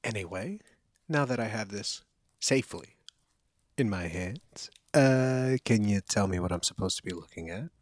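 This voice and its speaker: A man's voice: on the low side, lively intonation, normal speed, no room sound, studio quality. A man playing a caring, protective young college friend in an intimate, one-sided audio roleplay, speaking in an earnest, conversational way. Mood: teasing.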